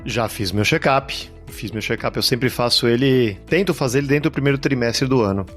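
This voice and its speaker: A man hosting a health podcast, relaxed and friendly as he interviews a guest. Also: mid-range voice, fairly quick, faint music behind. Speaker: podcast host. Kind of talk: casual health podcast chat.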